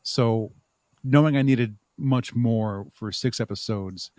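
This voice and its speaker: A man talking casually and thoughtfully as an interview guest, with halting phrasing. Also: mid-range voice, a little mellow, no room sound. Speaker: podcast guest, audio drama creator.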